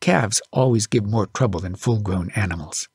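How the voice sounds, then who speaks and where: A man narrating an audiobook as an expressive storyteller. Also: fairly low pitch, moderate pace, breathy edge, no echo, clean studio sound.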